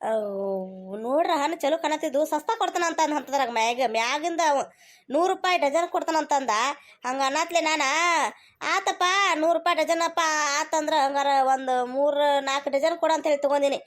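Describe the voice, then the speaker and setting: Woman playing a high-pitched bossy cartoon mother-in-law, North Karnataka accent. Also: a little fast, natural voice. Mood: stubborn, chatty. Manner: scolding, insistent. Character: bossy, stubborn, mango-greedy mother-in-law. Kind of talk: cartoon comedy acting.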